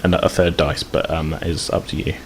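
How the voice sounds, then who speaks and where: Low-voiced man running a tabletop game. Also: level tone, a little fast, a little hiss or hum. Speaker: game master. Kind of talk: narrating a tabletop roleplaying game, casual.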